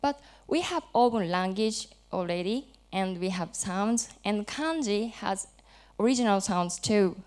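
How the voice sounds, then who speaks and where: A woman in her thirties with a Japanese accent speaks at a slow, careful pace. Her voice is smooth and clear, and her English is simple and halting, with small restarts as she moves through an academic talk. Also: mid-range voice, expressive, darkish tone. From Japan, Hokkaido. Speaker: conference presenter. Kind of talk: academic presentation with light jokes. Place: conference hall.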